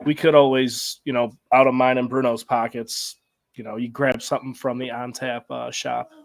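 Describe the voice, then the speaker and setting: A man with an American accent co-hosting a sports show, talking casually in an informal, halting way. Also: mid-range voice, flat delivery, creaky.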